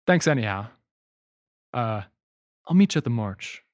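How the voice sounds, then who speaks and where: A man's voice: medium pitch, animated, a little slow, no room sound, natural voice. Man playing a tender, vengeful teenage boy, dry close sound. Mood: friendly, bitter. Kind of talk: audiobook character dialogue.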